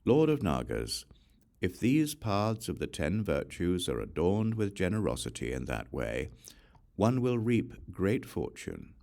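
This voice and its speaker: A man reading a scripture aloud as an audiobook narrator, in a measured, solemn manner, phrase by phrase. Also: deep voice, vocal fry, a little mellow. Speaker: audiobook reader.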